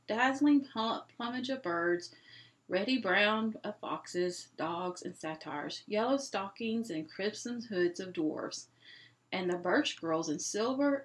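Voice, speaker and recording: A woman reading a children's novel aloud as an expressive storyteller. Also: a little high. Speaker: storybook reader.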